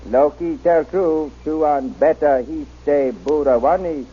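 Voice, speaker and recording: An actor playing a wary, loyal native guide who speaks halting, clipped pidgin English in an old-time radio drama. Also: male voice, a little high, slightly rough. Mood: wary, guarded. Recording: muffled, dull old radio recording.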